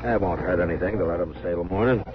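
Frontier lawman, male actor, very low register, stern, fair, measured delivery; 1950s radio western, narrow noisy sound. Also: over music, natural voice. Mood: reasoning. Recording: noisy, band-limited 1950s radio recording.

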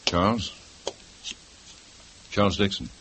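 A calm, firm police detective, with a very deep voice and measured questions. A faint hiss runs under the old radio play. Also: male voice, full character voice. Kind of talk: 1950s radio drama acting.

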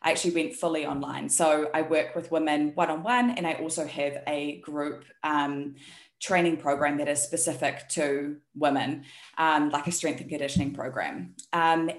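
A woman with a New Zealand accent, a coach and guest on a podcast, talking in a relaxed, open way with many ums. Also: sits low, moderate pace, reverberant. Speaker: guest, health and performance coach. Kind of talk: casual interview chat.

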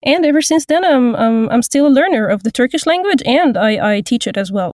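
Woman from Egypt, thirties, high-ish voice, hesitant and thoughtful, dry studio sound. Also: lively intonation, fairly quick, a little mellow, no room sound. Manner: thoughtful, hesitant with frequent restarts. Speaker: guest, voice actor and Turkish teacher. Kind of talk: casual interview.

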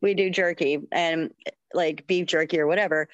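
Animated Texan woman, low and dry. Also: moderate pace, no echo, muffled recording. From Texas. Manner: animated, many "like" fillers. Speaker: podcast co-host. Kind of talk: casual storytelling.

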